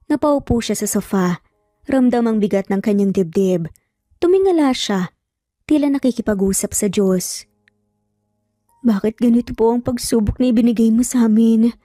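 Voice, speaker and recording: A woman's voice: on the high side, dark tone, dry recording, clean studio sound. A woman with a Filipino accent narrating a scripted dramatic romance story as a storyteller.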